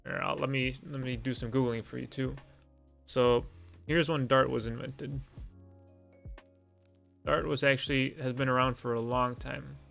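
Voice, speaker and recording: A man's voice: mid-range voice, fairly even intonation, measured pace, gravelly, long pauses, a bit bright, soft background music. A young man whose first language is Lithuanian, hosting a casual live-stream Q and A, rambling and self-deprecating with many fillers.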